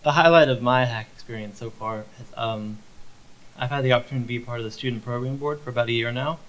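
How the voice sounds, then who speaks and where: Young man, student panelist, rapid, eager, repetitive. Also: medium pitch, fairly bright tone, a little hiss or hum, poor audio. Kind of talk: panel talk.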